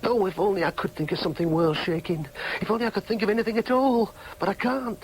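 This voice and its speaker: An actor playing a frustrated, defiant young working-class son with a Northern English accent, argumentative and self-dramatising, in a radio drama. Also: male voice, sits high, steady tone, fast, a little hiss or hum, close to an everyday voice, poor audio. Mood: despairing. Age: young adult. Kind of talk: British radio drama acting with interior monologue.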